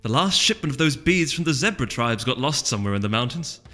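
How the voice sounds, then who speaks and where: A man's voice: mid-range voice, animated, fast talker, pushed and bright. A man voicing a kindly elderly female pony shopkeeper with a folksy, confiding manner in a storybook reading. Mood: friendly. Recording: long reverb with music underneath.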